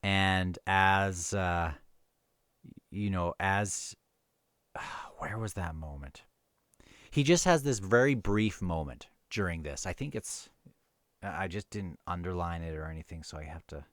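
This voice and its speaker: A man casually talking about and reading from a novel, earnest and somewhat halting as he thinks aloud. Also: deep voice, fairly bright tone, no echo, clean studio sound. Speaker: book reviewer and reader. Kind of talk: casual book discussion mixed with read-aloud novel passages.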